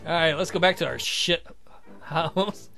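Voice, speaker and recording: A man's voice: on the high side, measured pace, gravelly, sharp tone, faint music behind. A man hosting a let's play with casual, joking, sweary commentary. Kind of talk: casual game playthrough commentary and narration.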